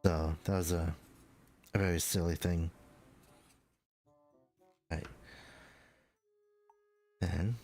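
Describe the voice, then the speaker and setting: Low-voiced young man with a stuffy nose. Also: level tone, drawn-out words, vocal fry, long pauses, warm tone, faint music behind. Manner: rambling, self-deprecating, full of fillers. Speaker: model-kit building vlogger. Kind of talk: casual chat while building a model kit.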